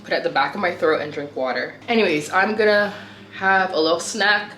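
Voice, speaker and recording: Low-voiced chatty woman vlogger. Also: a little fast, fairly bright tone, reverberant, faint music behind. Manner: chatty, rambling, self-correcting. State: sore throat, slightly nasal. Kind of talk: casual vlog chat to camera.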